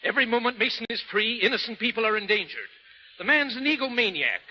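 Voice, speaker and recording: A man's voice: very high voice, animated, fast, sharp tone, a little hiss or hum, exaggerated voice. An actor playing a stern, pressing male parole board official in a vintage radio drama. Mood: insistent. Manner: pressing, insistent interrogator. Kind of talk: 1940s radio acting. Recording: dull lo-fi old radio transcription.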